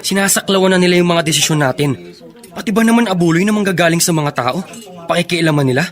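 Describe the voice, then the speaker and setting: A man's voice: high-pitched, quick pace, close to an everyday voice. A man playing a responsible, protective young eldest son in an earnest radio drama. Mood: indignant.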